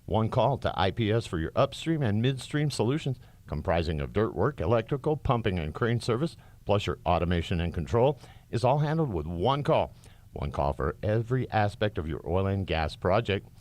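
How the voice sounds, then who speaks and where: A man's voice: lowish voice, very animated, normal speed, darkish tone. A man reading a scripted company advertisement as a commercial announcer.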